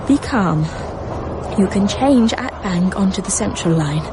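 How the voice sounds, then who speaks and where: A woman's voice: expressive, natural voice. An actress playing an anxious, claustrophobic, genteel woman who fretfully talks to herself in a radio drama. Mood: nervous. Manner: fretful, halting, talking to herself. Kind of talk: radio drama interior monologue. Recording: noisy train rumble behind the voice.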